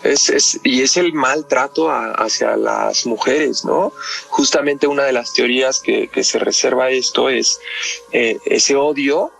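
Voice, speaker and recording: A Mexican man with a creaky, fry-edged voice talks as an expert guest. He explains things step by step and keeps checking in with short tag questions, over faint music. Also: medium pitch, measured pace, fairly bright tone. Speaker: psychoanalyst, podcast guest. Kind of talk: explanatory conversation about a crime case. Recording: music behind the voice.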